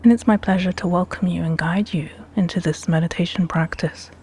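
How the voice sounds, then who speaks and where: A woman with a hushed, breathy voice guiding a meditation in measured, deliberate phrases with pauses between them. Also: fairly low pitch, steady tone. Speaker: meditation guide. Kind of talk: slow guided meditation narration.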